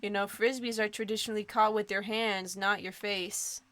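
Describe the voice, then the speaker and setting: A woman's voice: medium pitch, fairly even intonation, moderate pace, a bit bright, natural voice. A woman voicing a friendly, boastful middle-aged dad in a dating game, cheerful and proud.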